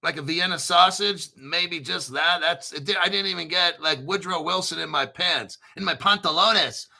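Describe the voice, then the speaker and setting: A man's voice: a little high, normal speed, creaky, sharp tone. A man hosting a casual crypto show, talking bluntly and profanely, rambling with restarts. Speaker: crypto channel host. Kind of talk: casual livestream chat.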